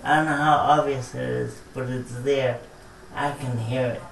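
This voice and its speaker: This young man talks slowly and haltingly in a soft voice, and a slight speech impediment is audible in his words. He sounds set back from the mic in an echoey room with faint background hiss. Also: medium pitch, steady tone, gravelly. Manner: slow, soft-spoken, halting speech with restarts. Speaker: guest, care home resident. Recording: echoey room, picked up from a distance.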